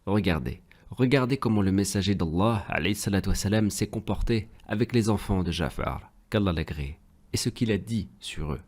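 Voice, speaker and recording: Guy playing a patient religious dad in a kids' cartoon, lowish voice, teaching calmly. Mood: earnest teaching. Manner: measured, didactic. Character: pious, gentle, patient cartoon father teaching his children. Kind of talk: French children's cartoon dubbing, storytelling.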